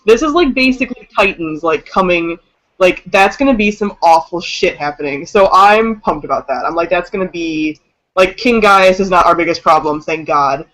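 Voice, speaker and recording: A woman's voice: lowish voice, normal speed, fairly bright tone, rough recording. A woman co-hosting a casual book chat, giving opinions in a gushing, slangy, informal way. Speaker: co-host of a book discussion livestream.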